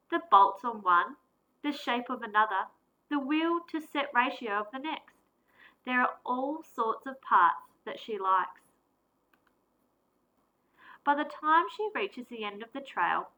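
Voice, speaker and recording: Woman teacher for a storybook read-aloud, slightly high and bright, unhurried and expressive. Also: low-quality recording. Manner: expressive, deliberate storytelling with varied emphasis. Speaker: primary school teacher. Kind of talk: reading a picture book aloud.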